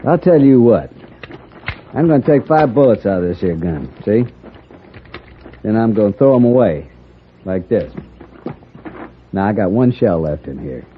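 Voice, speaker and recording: An actor playing a taunting, swaggering thief and gunslinger in a 1950s radio Western, rustic and goading in manner. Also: male voice, on the low side, lively intonation, normal speed, long pauses, close to an everyday voice. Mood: taunting menace. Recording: tinny, noisy 1950s radio broadcast.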